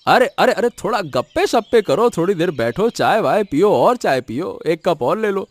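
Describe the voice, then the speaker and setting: A man's voice: sits high, very animated, normal speed, dry recording. A man voicing an emotional elder brother, a village farmer, in a dramatic, emphatic cartoon story style. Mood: friendly, encouraging.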